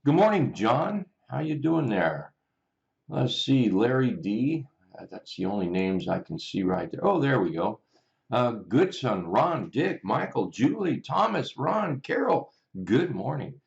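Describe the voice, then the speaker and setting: A man hosting a live stock market chart session, chatty and rambling, correcting himself often, with a raspy morning voice. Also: medium pitch, big pitch swings, unhurried, muffled recording. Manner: chatty, rambling, self-correcting, greets viewers by name. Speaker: stock market livestream host. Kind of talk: casual live chart commentary.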